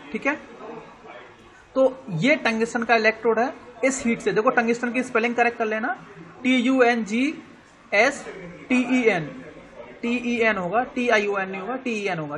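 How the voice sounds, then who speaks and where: Man, Indian accent, teacher, high-pitched, explains slowly, checks in with students. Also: fairly bright tone, rough recording. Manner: explains slowly, spells words out letter by letter, checks understanding often. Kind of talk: online classroom lecture.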